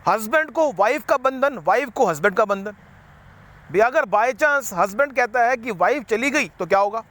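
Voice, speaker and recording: A man's voice: very high voice, animated, normal speed, a bit bright. A man giving an unscripted spiritual talk, rambling and repeating himself, slipping English words into his sentences. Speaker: spiritual speaker.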